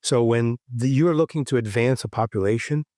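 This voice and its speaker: A man's voice: medium pitch, brisk, warm tone, dry recording, studio quality. A man from Haiti on a roundtable panel, making an earnest case with rhetorical questions. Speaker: physician panelist. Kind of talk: roundtable discussion.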